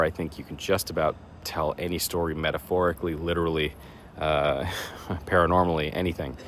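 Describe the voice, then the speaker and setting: A man with a very low voice films himself outdoors and chats to camera, rambling with fillers and poking fun at himself. His voice is a little raspy, and faint outdoor noise sits behind him. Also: fairly bright tone. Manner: chatty, self-deprecating, rambling with fillers. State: slightly raspy voice. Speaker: horror contest vlogger. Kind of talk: casual vlog to camera. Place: outdoors in woods. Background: faint leaf blower and vehicle noise.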